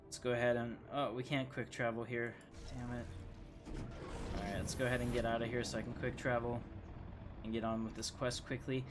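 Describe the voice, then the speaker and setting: A man's voice: mid-range voice, little pitch movement, brisk, music in the background. A man giving casual live gameplay commentary as a streamer, chatting and rambling to his viewers as he plays. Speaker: game streamer, host.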